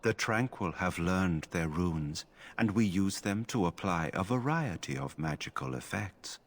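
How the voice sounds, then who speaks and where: A man playing a stoic, emotionless mage enchanter in a fantasy video game, speaking in a formal, measured way. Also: deep voice, animated, exaggerated voice. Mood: detached, formal. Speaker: game character. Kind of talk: scripted fantasy game acting.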